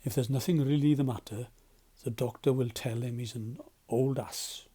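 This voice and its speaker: A practical, friendly rat from a storybook, voiced by a male narrator. He is brisk and matter-of-fact, with a mellow tone. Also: medium pitch, steady tone, husky, lightly acted. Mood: wry, practical.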